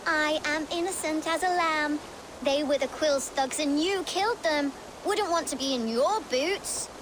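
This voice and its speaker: A woman's voice: high-pitched, a little slow, noisy background. A woman playing a cheeky, defiant young street-thief girl with an English accent and sassy, slangy banter in a video game scene. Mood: cocky. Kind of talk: video game cutscene acting.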